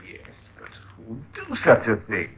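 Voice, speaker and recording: An actor playing an earnest, worried Victorian physician, speaking with urgent emphasis in 1950s radio drama style. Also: male voice, lowish voice, very animated, unhurried, a bit bright, a little hiss or hum, full character voice. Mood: concerned. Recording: muffled old radio recording.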